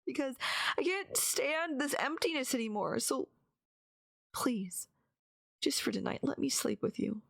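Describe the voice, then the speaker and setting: A woman playing a despairing, lovesick yandere kidnapper in an intimate audio roleplay, halting and pleading, often slipping into half-whispers. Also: high voice, expressive, gravelly, dry recording, full character voice. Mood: pleading, resigned. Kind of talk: intimate ASMR-style dramatic audio roleplay.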